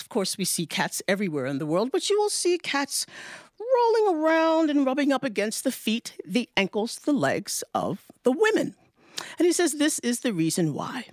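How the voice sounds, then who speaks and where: A woman telling folktales live as a storyteller, with deliberate, dramatic emphasis and repetition. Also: high-pitched, dry recording, studio quality. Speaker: professional storyteller. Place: studio with live audience.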